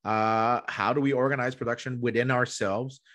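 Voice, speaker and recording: A man's voice: medium pitch, steady tone, moderate pace, fairly bright tone. A man in his thirties, a guest scholar explaining things emphatically in interview talk at a close desk microphone. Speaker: podcast guest, economic history scholar. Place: small room at home, indoors. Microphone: desk microphone close up, video call.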